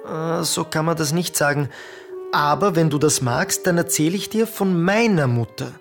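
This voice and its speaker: A performer playing a patient adult son visiting his mother in a radio play, speaking gently and calmly. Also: sits low, moderate pace, faint music behind.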